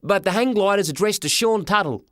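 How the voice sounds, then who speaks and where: A man voicing a bold, excitable schoolboy in an audiobook story, with an emphatic, cheeky delivery. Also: a little fast, no room sound, studio quality. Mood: earnest. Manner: high-pitched boyish exclaiming, emphatic.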